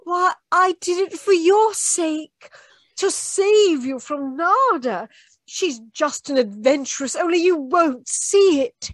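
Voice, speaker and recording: A woman's voice: extremely high pitch, animated, takes it slowly, fairly bright tone, full character voice. A woman playing a scheming, desperate niece in a melodramatic old-time radio mystery. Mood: desperate pleading.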